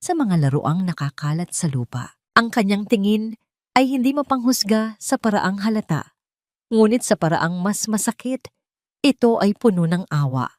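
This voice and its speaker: A woman's voice: mid-range voice, lively intonation, moderate pace, darkish tone, no echo, clean studio sound. A woman reading a scripted, dramatic story as narrator.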